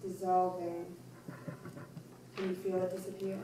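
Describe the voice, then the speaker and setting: A woman's voice: fairly low pitch, monotone, close to an everyday voice. Woman acting grave elderly soothsayer in echoey room. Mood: hypnotic. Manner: formal, deliberate, hypnotic. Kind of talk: staged play reading. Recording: echoey room, distant mic, faint noise.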